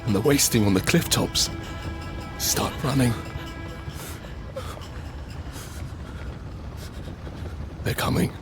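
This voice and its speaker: An actor playing a frightened survivor in an audio drama, narrating urgently in the first person and present tense in fragmented phrases. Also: male voice, medium pitch, moderate pace, over music, natural voice. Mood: low dread. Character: desperate, frightened male survivor of a monster apocalypse. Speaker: narrator and lead character.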